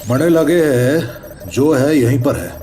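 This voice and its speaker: Man acting a slow, focused paranormal investigator, noisy. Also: medium pitch, sing-song, warm tone. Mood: intent, guiding. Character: focused male paranormal investigator guiding a young woman. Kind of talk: audio horror drama acting. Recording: clearly noisy, radio-like hum.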